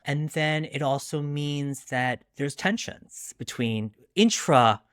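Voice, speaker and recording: A man chatting casually as an interview guest, animated and self-deprecating, often quoting his own thoughts. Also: a little high, slow pace, clear, resonant tone, dry recording, studio quality. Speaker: guest author discussing his debut novel.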